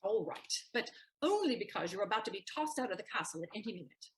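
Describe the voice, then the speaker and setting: A woman playing a quick-witted, teasing young lady's maid in a theatrical period comedy, arch and wary with a sharp tongue. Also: medium pitch, very animated, close to an everyday voice. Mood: bargaining.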